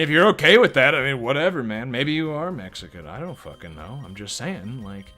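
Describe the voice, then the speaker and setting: A man's voice: mid-range voice, sharp tone. A man giving casual, profane, rambling commentary while playing a video game and reacting to chat. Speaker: let's play streamer.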